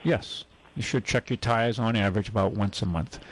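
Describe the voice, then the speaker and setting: A man's voice: lowish voice, normal speed, low-quality recording. A man hosting a call-in car radio show, talking casually and rambling with many fillers and restarts.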